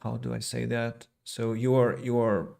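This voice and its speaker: A man, his voice gravelly with vocal fry, talking alone on his podcast. He reflects on listeners' comments as an English teacher, with plenty of fillers and false starts. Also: medium pitch, completely flat delivery, moderate pace, darkish tone. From Russia. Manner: reflective, reads listener comments aloud, frequent fillers and restarts. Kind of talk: solo podcast talk.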